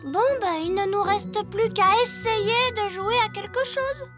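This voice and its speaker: A woman's voice: extremely high pitch, unhurried. A woman voicing an eager, childlike little fairy princess girl in a cartoon. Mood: childlike, earnest. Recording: music behind the voice.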